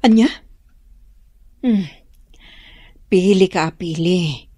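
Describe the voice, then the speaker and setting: A woman's voice: on the low side, extremely slow, mellow. A woman with an Ilocano Filipino accent playing a concerned, inquisitive mother in a radio drama. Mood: probing, chiding. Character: concerned, inquisitive mother advising her grown son.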